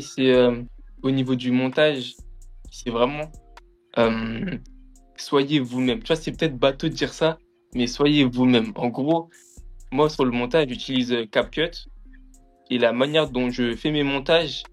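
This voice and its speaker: A man's voice: medium pitch, steady tone, a little slow, faint music behind. A young man from France, a podcast guest, chatting in an informal, slangy way full of little tag phrases. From France, of Antillean background. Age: young adult. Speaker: podcast guest, music media content creator. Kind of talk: casual chat, slangy.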